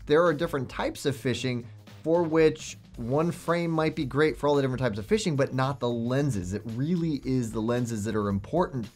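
A man's voice: medium pitch, a little mellow. A young man hosting a product review to camera, chatty and enthusiastic in a salesman way, with faint music behind him. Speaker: host.

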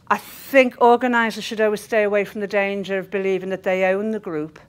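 A middle-aged woman speaking earnestly and emphatically in an informal training talk to a group. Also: medium pitch. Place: meeting room, indoors. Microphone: clip-on lapel mic.

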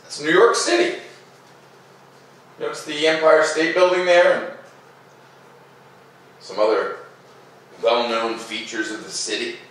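A middle-aged man hosting a children's read-aloud, mixing expressive picture-book reading with informal, casual asides. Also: on the high side, unhurried, pauses often. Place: living room by a fireplace, indoors. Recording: echoey room, distant mic, faint noise.

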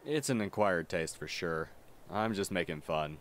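A man's voice: sits low, animated, unhurried. A man playing a theatrical, mischievous young goth student with a grandiose, teasing manner in an ASMR roleplay.

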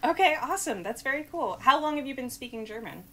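A woman in her thirties hosting a casual word quiz over a video call, bubbly and quick to laugh, with lots of filler words, on a headset mic in a small room. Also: sits high, expressive, bright, forceful tone. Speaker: host. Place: small room with blue backdrop.

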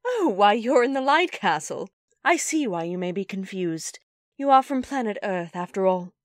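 Woman voicing a gracious high-voiced dog queen. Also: dry recording, clean studio sound. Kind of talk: animated character audition lines.

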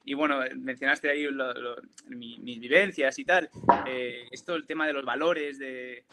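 A man's voice: mid-range voice, steady tone, moderate pace, bright, forceful tone. A young man speaking as a guest player on a video call panel, with many restarts and fillers.

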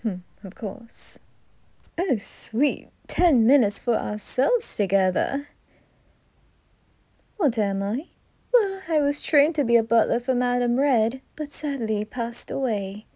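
A woman voicing a flamboyant, flirtatious male reaper in an ASMR roleplay, teasing and giggling, often slipping into breathy whispers. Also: a little high, expressive, slow pace, mellow, no room sound, exaggerated voice.

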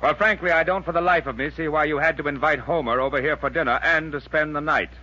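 A man's voice: fairly high pitch, quick pace, pushed and bright. An actor playing a fretful, grumbling middle-aged father with an American accent in a 1940s radio sitcom. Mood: irritated. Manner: grumbling, exasperated. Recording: muffled, hissy old radio recording.

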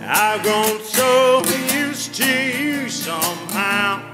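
Middle-aged male country blues singer, high voice, long slow phrases, acoustic guitar. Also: lively intonation. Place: hall stage, indoors. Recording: loud guitar accompaniment.

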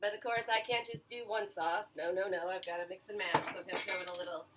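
A woman's voice: lowish voice, fairly even intonation, fast, background noise. Woman, 40s, chatty home cook, camera mic at a distance, pans clattering in the background. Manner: chatty, talks to viewers while cooking, with filler and self-corrections. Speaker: cooking show host. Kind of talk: casual home cooking demo. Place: small home kitchen. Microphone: camera mic a few feet away.

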